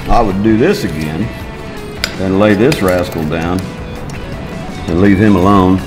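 Deep-voiced folksy welding teacher. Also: male voice, unhurried. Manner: folksy, plainspoken, thinking aloud while working. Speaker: welding instructor. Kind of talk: hands-on shop demonstration. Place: large metal workshop. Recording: music bed under the voice.

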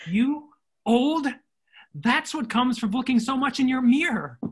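Guy doing a romantic soldier husband in a comedy play, slow and husky, very earnest. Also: extremely high pitch, very animated, exaggerated voice. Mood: adoring. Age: middle-aged. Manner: earnest, theatrical, ardent. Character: devoted, romantic army captain home from war. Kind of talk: stage comedy acting. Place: indoor room, recorded over video call.

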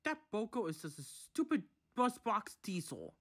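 A man's voice: fairly high pitch, moderate pace, fairly bright tone, lightly acted. A grumpy little tank engine from a children's storybook, voiced by a man, resentful and scheming. The delivery is petulant and full of complaint. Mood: scornful.

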